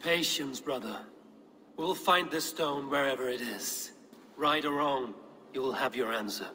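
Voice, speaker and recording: An actor playing a sceptical, impatient Viking warrior in a video game, speaking in a blunt, challenging way. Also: male voice, mid-range voice, measured pace, bright, forceful tone, slight background noise. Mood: earnest. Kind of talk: dramatic video game acting.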